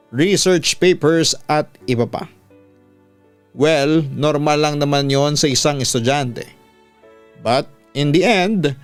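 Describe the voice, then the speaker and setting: A Filipino man, a radio host, narrating a listener's story in a conversational way, mixing in some English. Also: medium pitch. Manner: conversational Tagalog-English code-switching. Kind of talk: scripted first-person story narration, reading a listener's letter. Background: background music.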